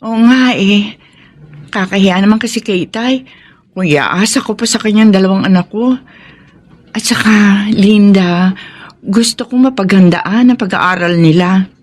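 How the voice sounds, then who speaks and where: A woman's voice: mid-range voice, unhurried, long pauses, darkish tone, natural voice. A Filipino woman acting an earnest, principled, hardworking single mother in a radio drama. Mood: weary, resolute.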